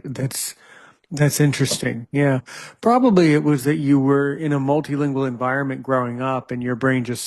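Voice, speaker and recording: A man in his thirties hosting a casual interview, hesitant and often repeating words as he thinks. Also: mid-range voice. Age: 30s. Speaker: host.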